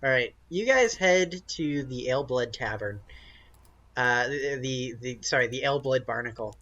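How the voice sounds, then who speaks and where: A man runs a tabletop game, improvising scenes in a casual, halting way. His voice sits at an average pitch, and the recording is slightly dull. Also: lively intonation, sharp tone. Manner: halting, casual, searching for words. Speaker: game master.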